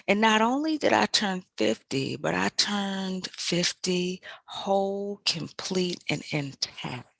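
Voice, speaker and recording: A woman in her fifties, a podcast host, reflecting in a measured, deliberate way and repeating phrases for emphasis. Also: fairly low pitch, vocal fry, fairly bright tone, dry recording. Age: 50s. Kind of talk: reflective personal monologue.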